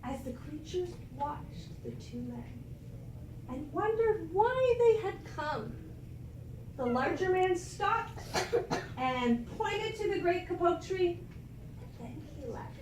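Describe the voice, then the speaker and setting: A woman teacher doing an expressive read-aloud for her class, stopping to give them prompts. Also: very high voice, slow, noisy background. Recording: echoey classroom from far off.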